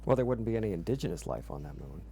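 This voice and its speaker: A man's voice: lowish voice, sing-song, rapid-fire, mellow, a little hiss or hum. A man playing a tabletop roleplaying game, speaking in wry casual banter.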